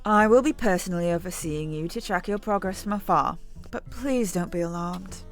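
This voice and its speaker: A woman's voice: lowish voice, normal speed, soft background music, voice pushed down, close to an everyday voice. A woman reading as a cheerful guild boss. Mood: welcoming. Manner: reads game dialogue aloud. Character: cheerful female guild supervisor.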